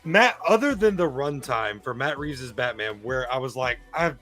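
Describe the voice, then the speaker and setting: A man's voice: mid-range voice, animated, moderate pace, bright, forceful tone, soft background music. A man chatting casually and enthusiastically about films on a live stream, speaking emphatically.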